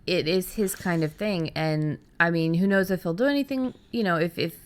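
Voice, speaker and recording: A woman with a notably low-pitched voice hosts a relaxed podcast conversation. She explains informally and backs up the other speaker as she goes. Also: normal speed, clean tone. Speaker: podcast host.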